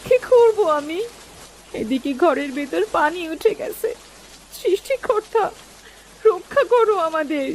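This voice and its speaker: A woman's voice: very high-pitched, expressive, measured pace, darkish tone, slight background noise. A woman playing an anxious, devout poor village wife and mother in a cartoon story, with a pleading, plaintive manner. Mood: anxious, despairing. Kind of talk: Bengali cartoon story voice acting.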